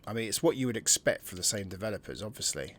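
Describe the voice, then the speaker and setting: A man giving casual, excitable commentary while playing a video game and thinking aloud. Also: fairly low pitch, fast talker. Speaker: gameplay streamer. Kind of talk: casual let's-play commentary.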